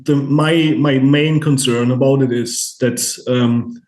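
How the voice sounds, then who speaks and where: A man with a German accent, a native German speaker, talking as a podcast guest in halting speech with many restarts and free swearing. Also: medium pitch, fairly even intonation, a little mellow. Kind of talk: casual conversation. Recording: roomy echo.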